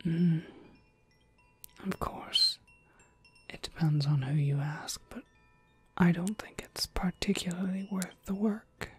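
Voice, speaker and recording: A woman playing a gentle, attentive witch shopkeeper in a whispered ASMR roleplay, breathy, polite and softly reassuring. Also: on the low side, slow pace, long pauses, full character voice. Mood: helpful, explaining.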